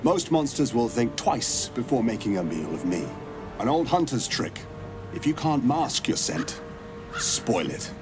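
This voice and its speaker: A man playing a courteous, grave monster hunter in a video game, speaking in measured, formal, storytelling phrases. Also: mid-range voice, very animated, music in the background. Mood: wry, measured. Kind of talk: dramatic video game acting.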